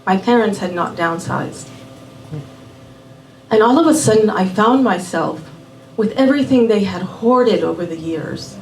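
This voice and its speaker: A woman raised in Miami telling a personal story live at a small venue, unhurried and measured, dropping in Spanish words. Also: mid-range voice, lively intonation, a little hiss or hum. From born in Puerto Rico, raised in Miami. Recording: roomy venue audio from a distant mic.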